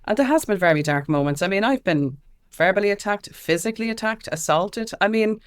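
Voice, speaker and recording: A woman's voice: medium pitch, lively intonation, a little fast, clear, resonant tone. An Irish woman giving candid, informal and emphatic answers as an interview guest, speaking as an activist. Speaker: guest, activist and music consultant.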